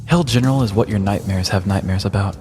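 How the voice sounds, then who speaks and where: A man's voice: lowish voice, fast, a little mellow, in a large hall, soft background music, close to an everyday voice. Man doing an earnest, spooked boy. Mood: ominous. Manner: ominous, overdramatic. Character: earnest fearful boy, the best friend.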